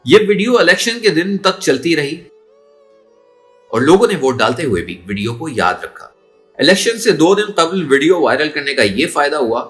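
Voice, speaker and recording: A man's voice: mid-range voice, fairly bright tone. A man narrating a story in a dramatic, emotive and suspenseful way. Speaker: audiobook narrator.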